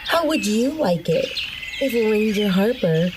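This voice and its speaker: A woman's voice: medium pitch, very animated, unhurried, noisy background. A woman playing a protective forest ranger in an audio drama, scolding and soothing as if talking to small creatures. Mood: stern scolding. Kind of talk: audio drama character acting.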